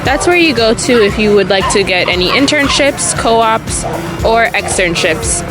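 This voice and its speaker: A woman's voice: a little high, animated, a little slow, rough recording. A woman in her twenties from Jamaica, filming a casual walking tour of her university campus with a camera held at arm's length, explaining each building as she passes it. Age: 20s. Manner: friendly, explanatory, pointing things out as she walks. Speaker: student tour guide. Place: outdoors on a breezy campus, then an indoor hallway. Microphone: handheld camera at arm's length. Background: loud background music.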